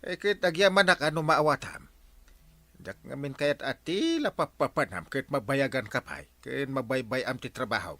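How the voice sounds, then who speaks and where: A man with an Ilocano accent playing an excitable, stammering, bumbling village captain in a radio comedy. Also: medium pitch, normal speed, dry recording. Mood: reassuring.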